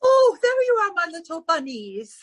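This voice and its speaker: A fussy, dramatic society hostess in an old radio comedy. Her voice is extremely high and shrill, swooping theatrically, with a dry close sound. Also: big pitch swings, normal speed, no echo. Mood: fussy, brisk. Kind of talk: 1940s radio comedy acting.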